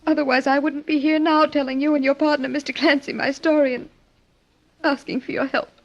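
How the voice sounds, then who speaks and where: An actress playing an earnest, grieving young wife in old-time radio drama style, speaking haltingly with emotion. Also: female voice, sits high, level tone. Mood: troubled, earnest. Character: grieving young wife of a wealthy older man. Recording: dull, lo-fi old radio recording.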